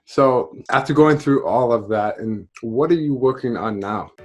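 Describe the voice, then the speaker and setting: A man's voice: medium pitch, normal speed, soft background music. Curious young male interviewer. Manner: curious, restates answers. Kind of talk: casual interview. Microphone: headphones on video call.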